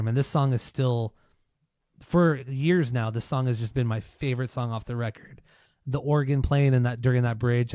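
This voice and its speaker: A man's voice: mid-range voice, dark tone, no echo. A man co-hosting a casual podcast, losing his voice, talking in a loose, opinionated way with plenty of swearing. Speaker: podcast co-host.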